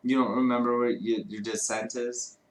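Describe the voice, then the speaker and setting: Man co-hosting a casual livestream, rambling and swearing, mid-pitched voice. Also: flat delivery, moderate pace, poor audio. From Chicago, United States. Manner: rambling, profane, laughs often. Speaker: livestream co-host. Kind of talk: casual chat. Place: home streaming studio.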